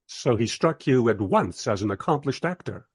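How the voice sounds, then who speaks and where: Male, inquisitive, well-mannered narrator-interviewer for radio drama. Lively intonation, slightly rough husky tone. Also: mid-range voice, moderate pace. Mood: courteous, intrigued.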